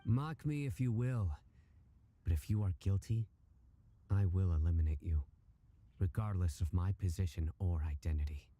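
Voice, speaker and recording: An actor playing a stern, solemn exiled general in a video game, speaking gravely and formally. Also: male voice, low-pitched, moderate pace, pauses often, dark tone. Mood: threatening. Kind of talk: video game character acting.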